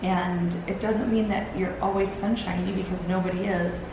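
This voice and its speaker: A woman hosting a solo motivational talk, earnest and encouraging. She sounds gravelly and tired, and rambles with restarts as she talks to a camera set on a timer. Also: on the low side, fairly even intonation, normal speed. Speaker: host. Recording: very echoey room with background hiss.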